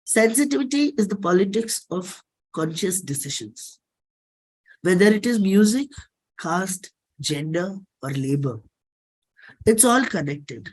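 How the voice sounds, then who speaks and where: A middle-aged performer with an Indian accent, giving a dramatic autobiographical reading in measured, deliberate phrases. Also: medium pitch, very animated, low-quality recording. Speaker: singer and performer.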